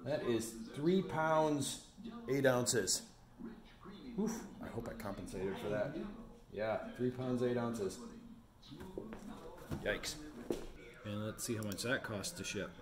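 A man in his forties talking casually to his vlog camera indoors, musing and halting as he thinks aloud. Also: medium pitch, crowd noise. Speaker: vlogger running a home resale business.

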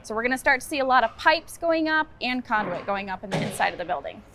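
High-voiced woman architect explaining on site. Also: fast talker, background noise. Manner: steady, explanatory. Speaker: project architect. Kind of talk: on-site interview. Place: outdoor construction site.

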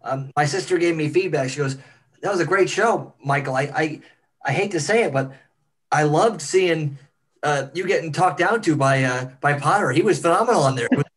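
A man casually telling anecdotes in a halting, amused way on a video call. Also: fairly high pitch, fairly bright tone. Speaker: amateur actor. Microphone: computer on a video call.

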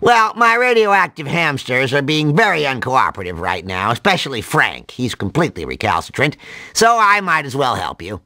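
A man voicing a nerdy, excitable, absent-minded cartoon mad scientist in a video game, nasal and full of rambling jargon and odd exclamations. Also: medium pitch, moderate pace, no room sound, clean studio sound. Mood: eager rambling.

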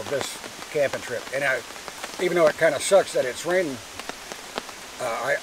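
A relaxed American man rambling through his camping day with dry humor. He sits back from the camera mic, so he sounds a little distant. Steady rain hisses clearly behind him. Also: mid-range voice. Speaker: moto camping vlogger. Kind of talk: casual vlog narration to camera. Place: tent and campsite outdoors by a creek in the rain.

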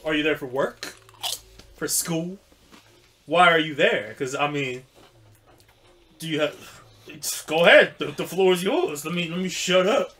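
A man streaming a video game, chatting casually and joking with excitable swearing. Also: fairly high pitch, measured pace, long pauses. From United States. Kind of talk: casual gameplay chat.

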